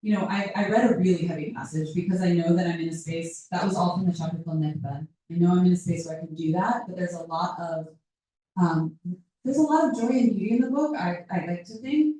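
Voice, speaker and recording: A woman's voice: on the low side, fast, mellow. A woman author talking at a live book event, reflective and searching for words as she answers. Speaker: author in conversation. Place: event room with audience. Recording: roomy hall picked up from far off.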